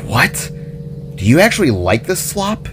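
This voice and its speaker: A man's voice: a little high, huge pitch swings, measured pace, music in the background, pitched above the performer's own voice. Man voicing a grumpy, worried orb-headed cosmic being. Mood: annoyed. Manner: sighing, irritable.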